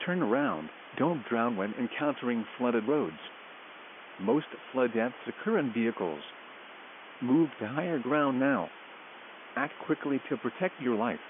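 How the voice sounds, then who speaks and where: A man's voice: mid-range voice, big pitch swings, unhurried. A man reading a scripted public safety warning as a weather alert announcer. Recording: tinny narrowband radio with noisy hiss.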